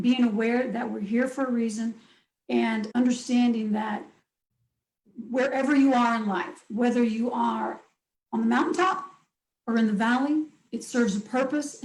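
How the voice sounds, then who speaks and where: A woman's voice: a little high, darkish tone. Upbeat middle-aged woman in an echoey room. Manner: upbeat, emphatic, listing in short phrases. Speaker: life coach, interview guest. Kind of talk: casual interview talk.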